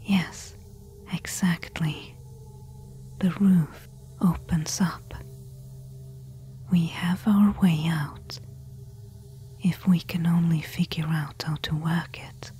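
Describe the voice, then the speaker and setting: A woman playing a careful, eager temple explorer in a soft-spoken ASMR audio roleplay, hushed and deliberate. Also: fairly low pitch, flat delivery, a little hiss or hum. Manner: hushed, slow, deliberate whispering.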